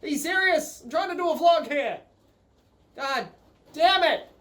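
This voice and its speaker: Casting: Australian male vlogger, high voice, loose conversational storyteller with a sweary, rambling delivery, phone-recorded. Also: animated, unhurried, fairly bright tone. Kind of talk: casual storytelling vlog.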